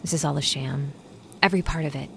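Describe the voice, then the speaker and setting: A woman's voice: sits low, fast, creaky, a little hiss or hum. A woman voicing a guarded, wry young woman in her twenties.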